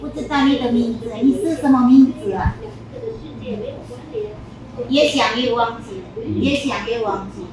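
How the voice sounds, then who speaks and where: Echoey, distant woman hypnotist amid a crowd. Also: fairly high pitch. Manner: slow, repetitive questioning. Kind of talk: hypnosis session in a clip.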